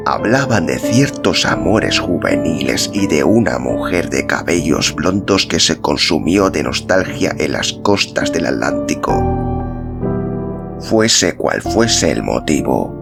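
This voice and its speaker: Deep, gravelly male storyteller over music. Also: big pitch swings, slow. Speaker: audiobook-style podcast narrator. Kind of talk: scripted literary narration. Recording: music behind the voice. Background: instrumental music.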